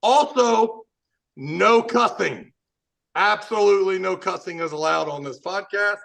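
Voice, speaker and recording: Brash high gravelly man hosting. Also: a little slow, fairly bright tone. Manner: brash, profane, showman-like. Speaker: podcast host. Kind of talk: casual podcast banter.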